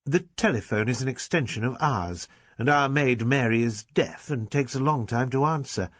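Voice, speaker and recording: A man reading aloud and voicing an elderly rector who is gentle, fussy, slow and measured. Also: medium pitch, no room sound, pitched above the performer's own voice, lightly acted. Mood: explaining.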